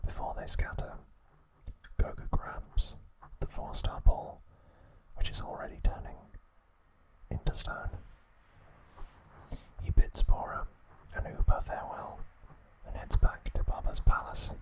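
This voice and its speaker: A man narrating a scripted recap in a soft, breathy ASMR whisper, slow and deliberate, in short phrases. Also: low-quality recording.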